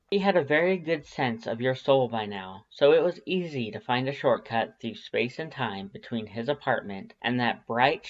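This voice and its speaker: A woman's voice: extremely low pitch, lo-fi sound. A woman reading scripted story narration as a fan-fiction audiobook narrator.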